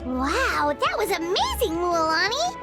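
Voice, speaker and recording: Brief: earnest little game child, extremely high, bouncy pitch, music behind. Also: female voice, huge pitch swings, measured pace, full character voice. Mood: amazed. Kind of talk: video game voice acting.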